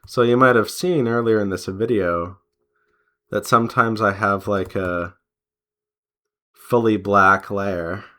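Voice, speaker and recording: A man chatting casually as he draws, rambling in an unhurried way and pausing mid-sentence. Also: fairly low pitch, steady tone, bright, forceful tone. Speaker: artist and devlog host. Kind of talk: casual chat while drawing.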